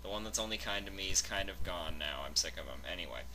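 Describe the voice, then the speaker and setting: A man's voice: sits low, lively intonation, fast, sharp tone, a little hiss or hum. A man hosting a fanfic reading channel, chatting casually and stammering a little as he introduces things.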